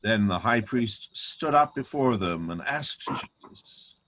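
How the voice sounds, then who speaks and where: A man's voice: fairly low pitch, lively intonation, slow, a bit bright, a little hiss or hum, low-quality recording. A man narrating a dramatic reading of scripture.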